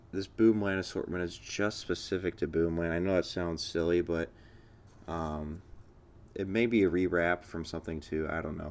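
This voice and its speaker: Male vlog host, American, low-pitched voice, informal and enthusiastic, chatting through an unboxing. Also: creaky, darkish tone. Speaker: fireworks channel host. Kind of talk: casual unboxing commentary.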